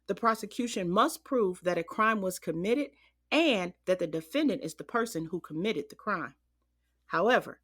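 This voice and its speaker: An actress plays a female judge in a courtroom audio drama. She has a medium pitch and a formal, measured delivery, orderly and official. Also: close to an everyday voice.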